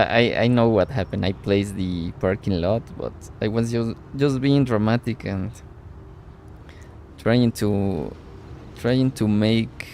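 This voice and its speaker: A man's voice: lowish voice, darkish tone, slight background noise. A man streaming a city-building game live, speaking English as a second language, thinking aloud with puzzled exclamations and greeting chat viewers. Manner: non-native English speaker. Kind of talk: live gameplay commentary.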